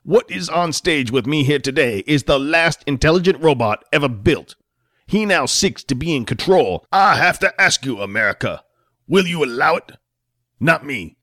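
A man voicing a heavyset, enraged male senator who rants in slow, emphatic oratory. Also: on the high side, animated, pushed and bright, no room sound, studio quality, voice pushed up. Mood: ranting.

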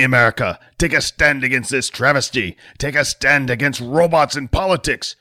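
A man voicing a heavyset, enraged male senator who rants in slow, emphatic oratory. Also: mid-range voice, fairly bright tone, no room sound, studio quality, voice pushed up.

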